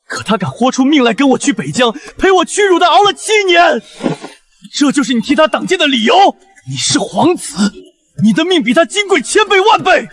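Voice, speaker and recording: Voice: high-pitched, big pitch swings, brisk, fairly bright tone. An actor playing a jealous, possessive emperor and elder brother in a historical drama. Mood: angry reproach. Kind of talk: dubbed historical drama acting.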